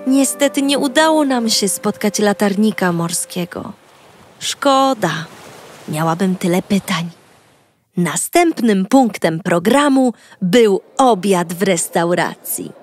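Polish-accented woman, first-person kids' story voice, slightly high, slow, soft music under. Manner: narrating as a cheerful young girl in first person. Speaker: audio storyteller. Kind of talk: children's audio story narration.

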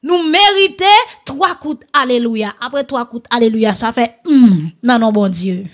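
A woman with a Haitian accent hosting conversational online news commentary, addressing her listeners familiarly in a bantering, animated way. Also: fairly high pitch, a little slow.

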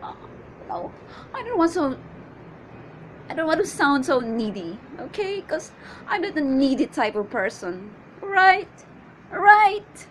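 A woman performing a comedic monologue as a needy, chatty woman waiting on a suitor, with theatrical exasperation and drawn-out questions. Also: sits high, sing-song, a little hiss or hum, exaggerated voice. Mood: distraught, panicky.

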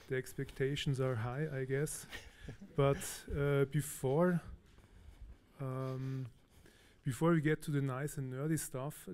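Man from austria, warm mellow voice, conference speaker, relaxed and hesitant, stage mic in a big hall. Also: mid-range voice. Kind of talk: technical conference talk.

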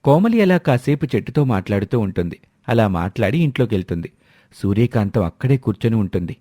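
A man's voice: on the low side, very animated, brisk, warm tone, no echo, clean studio sound. A man narrating a scripted story as its storyteller.

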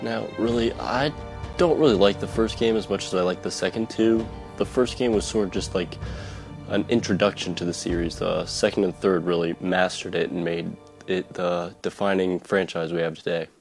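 A man's voice: deep voice, normal speed, gravelly. A man giving casual, self-deprecating commentary as a let's play host, over game audio in a home recording. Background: game music and sounds.

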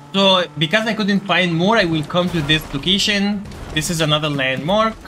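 A man's voice: a little high, pushed and bright, slight background noise. A man giving a casual gameplay tutorial, explaining steps directly to viewers. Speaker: gaming video creator giving a quest guide.

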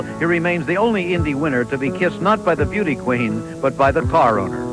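A man narrating a sports television feature from a script, with measured, dramatic storytelling. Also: a little high, low-quality recording. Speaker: sports documentary narrator and host.

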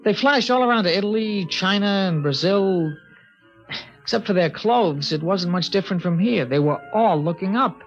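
A man's voice: sits high, animated, moderate pace, soft background music. An actor playing an earnest, slightly hesitant teenage boy who narrates and takes part in a 1950s radio drama. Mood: reflective. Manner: plain, reflective, a little hesitant. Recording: dull 1950s radio broadcast recording.

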